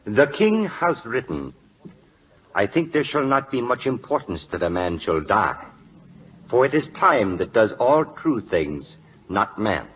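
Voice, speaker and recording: An actor playing an earnest court reader in an old radio drama, reciting written words in a careful, measured, formal way. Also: male voice, fairly low pitch, big pitch swings, fairly bright tone. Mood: solemn. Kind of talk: 1940s radio drama acting, formal reading. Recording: dull, noisy old radio recording.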